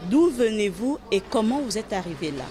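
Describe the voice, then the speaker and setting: A woman's voice: mid-range voice, very animated, moderate pace, warm tone, soft background music. Formal woman interviewer, polished delivery. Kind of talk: scripted interview questions.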